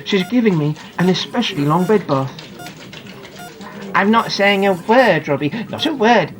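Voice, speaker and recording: A woman voicing an excitable young boy who lies in a coma, confiding his thoughts emphatically in a dramatic audiobook narration. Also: mid-range voice, normal speed, soft background music, natural voice. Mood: wry, curious.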